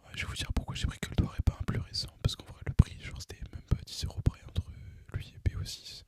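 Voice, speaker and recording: Whispering young man, ASMR style. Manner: hesitant, unhurried, informal. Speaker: ASMR gaming channel host. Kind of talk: ASMR, casual rambling whispered gaming chat. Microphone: microphone recorded through a phone, voice-over on gameplay.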